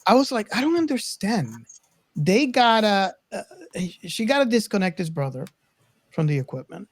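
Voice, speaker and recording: A man co-hosting a casual film discussion, reasoning aloud in a deliberate way. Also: sits high, expressive. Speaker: podcast co-host.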